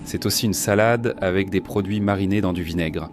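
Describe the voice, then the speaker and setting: A man's voice: low voice, noisy background. A man vlogging his travels, chatting with locals and thanking them often as he samples food and drink. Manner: chatty, curious, quick to thank people. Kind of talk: casual travel vlog chat. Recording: music playing under the voice. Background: background music.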